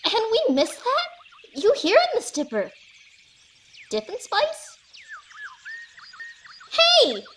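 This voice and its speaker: A woman voicing an excitable, bossy young girl in a cartoon comic dub. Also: very high voice, very slow, pauses often, soft background music, exaggerated voice. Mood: excited, indignant.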